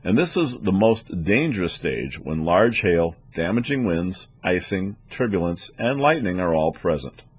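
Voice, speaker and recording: A man's voice: deep voice, big pitch swings, unhurried, hardly any pauses, breathy edge. A man reading scripted training narration as an instructor, in a methodical, explanatory manner. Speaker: weather instructor for air traffic controllers.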